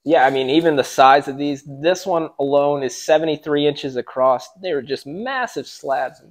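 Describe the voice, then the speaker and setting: A man's voice: a little high, moderate pace. A man in his thirties hosting a casual workshop vlog, upbeat and plain-spoken, recorded in a large indoor sawmill shop on a camera mic a few meters off. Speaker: sawmill channel host.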